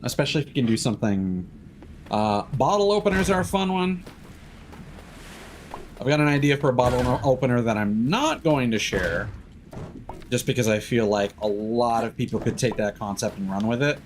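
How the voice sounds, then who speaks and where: A man's voice: mid-range voice, moderate pace, a little hiss or hum. A man live-streaming a game with casual commentary, rambling and thinking aloud as he goes.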